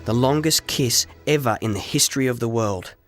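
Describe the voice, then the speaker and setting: A man narrating a scripted first-person story as an audiobook, with dramatic, expressive delivery. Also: mid-range voice, moderate pace, soft background music.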